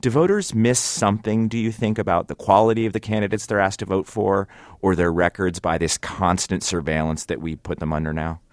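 A man's voice: low-pitched, steady tone, a little fast, dry recording. A man hosting a broadcast interview in a conversational style. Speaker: radio interviewer, host.

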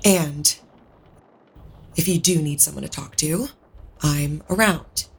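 An actress playing a proud, insistent young princess in an audio drama, earnest and formal. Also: female voice, sits low, slow, husky, exaggerated voice. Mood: conceding.

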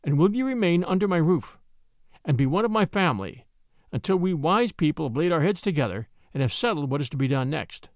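A family lawyer speaks in a measured, formal and kindly way, read by a male narrator. The pitch moves expressively. The sound is dry and intimate. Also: big pitch swings, no room sound.

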